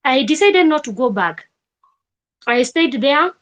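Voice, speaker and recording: A young Kenyan woman telling a personal story in a vlog, with animated emphasis and repeated rhetorical questions. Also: sits high, unhurried. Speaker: vlogger.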